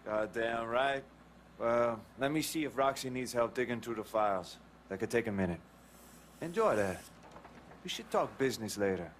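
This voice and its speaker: An actor playing a scruffy, profane young American hippie veteran, slangy and colorful, in a video game. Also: male voice, mid-range voice, lively intonation, moderate pace, slight background noise, close to an everyday voice. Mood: easygoing. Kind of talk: video game cutscene acting.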